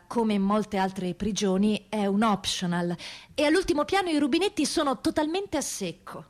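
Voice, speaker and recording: A woman narrating a scripted radio theatre piece in a deliberate, emphatic way, with weighted pauses. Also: fairly high pitch.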